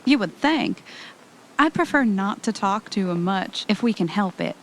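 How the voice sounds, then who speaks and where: A woman playing a fond, careful wife on vacation in an audio drama, chatty and gently chiding. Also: mid-range voice, lively intonation, moderate pace, natural voice, poor audio. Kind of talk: audio drama acting, conversational. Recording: faint background noise.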